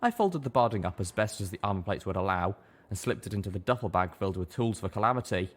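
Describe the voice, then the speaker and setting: A man reading a story aloud as an audiobook narrator, in expressive scripted narration. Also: lowish voice, fast.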